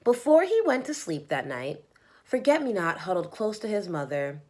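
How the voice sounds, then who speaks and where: A woman reading a children's storybook aloud as narrator, in an expressive storytelling manner. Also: mid-range voice, normal speed. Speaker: storybook reader.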